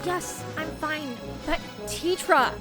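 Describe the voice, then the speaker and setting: A woman's voice: high-pitched, slow, over music. A woman playing a frightened, tender-hearted young noble girl in a fantasy fan dub, plaintive and earnest. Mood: anxious, concerned.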